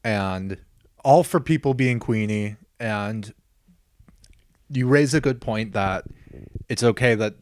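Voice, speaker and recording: A man with a fairly low voice, co-hosting a podcast in loose conversation. He teases in a dry, deadpan way and states blunt opinions flatly. He is recorded close on a dry mic. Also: takes it slowly, long pauses, no echo. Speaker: podcast co-host. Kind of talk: casual chat.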